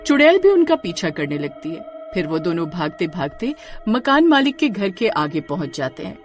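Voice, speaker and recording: Woman storyteller, scripted horror narration, dramatic, very animated intonation, slightly low pitch, light music bed. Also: moderate pace.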